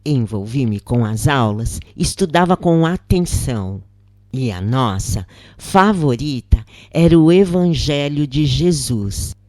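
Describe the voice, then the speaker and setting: A narrator with a Brazilian accent reading a first-person spiritual account from a script in a measured, deliberate way with short pauses between phrases. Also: medium pitch, animated, a little mellow, dry recording, clean studio sound.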